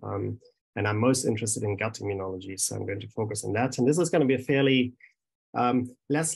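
A man's voice: lowish voice, vocal fry, rough recording. A man in his thirties with a German accent, giving a hesitant academic talk over a video call from a small office, with many ums and restarts. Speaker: research scientist.

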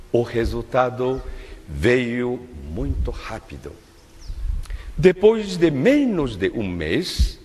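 Slow, measured older preacher in a hall. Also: male voice, mid-range voice, darkish tone. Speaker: minister giving a testimony-based sermon. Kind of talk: sermon. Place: large indoor worship hall, at a lectern on stage. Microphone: lectern microphone. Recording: long reverb of a large hall.

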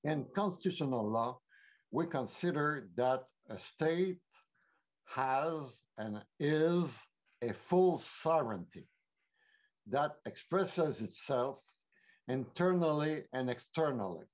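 An older man from Quebec City with a Quebec French accent, giving a measured, formal lecture over a headset on a video call. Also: medium pitch, animated, darkish tone. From Quebec City, Canada. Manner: measured, explanatory, with frequent fillers. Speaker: panelist, former minister. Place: home office. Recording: muffled video call audio.

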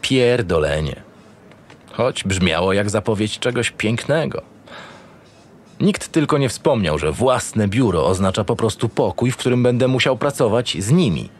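An actor playing a cynical, irritable, foul-mouthed homicide detective in an audio drama, gruff and sardonic. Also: male voice, on the low side, animated, a little slow. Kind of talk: first-person audio drama narration and dialogue.

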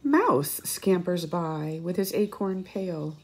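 A woman reading a picture book aloud expressively for young children, with pauses, asides and questions to her listeners. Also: lowish voice, animated, slightly rough. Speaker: children's story reader and teacher.

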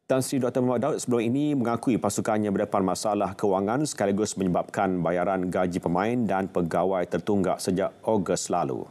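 A man's voice: low-pitched, steady tone, brisk, few pauses, darkish tone. A man with a Malaysian accent reading scripted sports news as a presenter. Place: television studio. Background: music.

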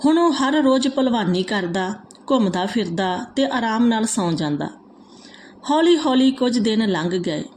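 A woman reading out a moral folk tale as a storyteller, in a steady, even manner. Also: on the high side, normal speed, a little hiss or hum.

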